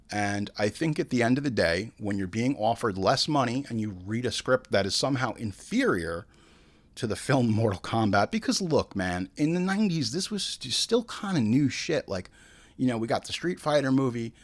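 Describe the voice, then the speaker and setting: A man hosting a show with casual, opinionated film commentary, swearing freely and acting out imagined bits of dialogue. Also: medium pitch. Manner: informal, frequent swearing. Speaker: show host.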